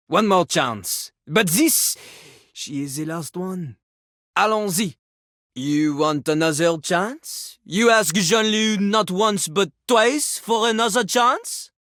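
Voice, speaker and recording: An actor voicing a pompous, sassy talking toilet who raps in a theatrical French accent, boasting haughtily in a video game. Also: male voice, high voice, fairly bright tone, dry recording, studio quality, exaggerated voice. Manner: haughty, third-person boasting, theatrical flourishes. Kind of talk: video game character voice acting, partly rapped.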